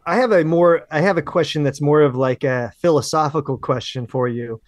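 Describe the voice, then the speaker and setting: Male podcast host from the US, slightly high voice, dry sound, rambling. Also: a little fast, dry recording. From United States. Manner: relaxed, rambling questions with many fillers. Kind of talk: casual interview chat.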